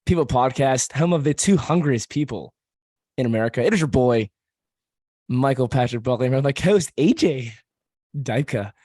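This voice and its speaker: A man hosting a casual podcast, a bit hoarse from screaming, who laughs a lot, swears freely and jokes around. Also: medium pitch, animated, moderate pace, warm tone, dry recording, studio quality. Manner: laughs often, swears freely, loose joking delivery. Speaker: podcast host.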